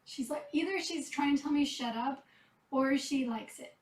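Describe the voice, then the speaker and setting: A woman who rescues cats, chatting casually and softly while tending kittens, her voice going a bit hoarse. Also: high voice, normal speed, reverberant, recorded from a distance, poor audio. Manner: chatty, soft-spoken, talks to the cats between remarks. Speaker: cat rescuer. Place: kitten room indoors.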